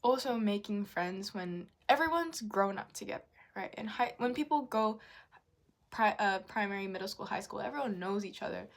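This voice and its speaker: A woman's voice: medium pitch, animated, normal speed. A young woman vlogging candidly about her own life in a frank, rambling, confessional way. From Japanese, raised in Indonesia and New Zealand. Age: young adult. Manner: confessional, frank, rambling with fillers and mild swearing. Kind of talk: candid personal vlog.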